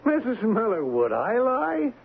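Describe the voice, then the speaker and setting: An actor playing an eager, cajoling police officer, a deferential sidekick, in a radio drama. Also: male voice, sits high, unhurried, gravelly, slightly rough, heavily acted. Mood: coaxing. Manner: cajoling, animated, repeats himself. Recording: tinny, noisy old radio broadcast.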